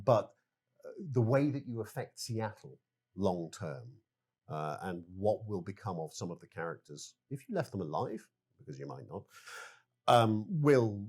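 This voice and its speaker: A man with a fairly low voice speaks as a game developer being interviewed. He is hesitant and careful, feeling his way through each answer in halting phrases. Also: lively intonation, darkish tone, breathy edge.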